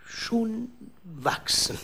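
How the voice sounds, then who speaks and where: A woman playing a placid, evasive herb healer in a children's radio play, with drawn-out, hesitant delivery. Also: a little high, big pitch swings, a bit bright, breathy edge, full character voice. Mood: hesitant, straining.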